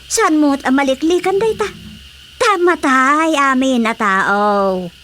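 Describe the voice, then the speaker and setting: A woman's voice: sits high, animated, slow pace, over music. A woman playing a stubborn, matter-of-fact villager in a radio drama, speaking with an Ilocano Filipino accent. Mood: resigned. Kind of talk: radio drama comedy acting.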